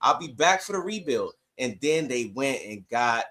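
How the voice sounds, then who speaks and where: A man's voice: mid-range voice, big pitch swings, normal speed, pushed and bright. A man on a sports podcast arguing casually and emphatically, often repeating phrases to drive his point home.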